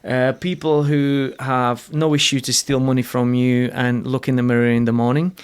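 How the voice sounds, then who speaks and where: A podcast host speaks English with a Slovak accent at a mid pitch. He talks bluntly and earnestly, often restarting his phrases, and he is not shy about swearing. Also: flat delivery, moderate pace, clean tone. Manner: blunt and earnest, frequent restarts, salty language. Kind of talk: casual interview conversation.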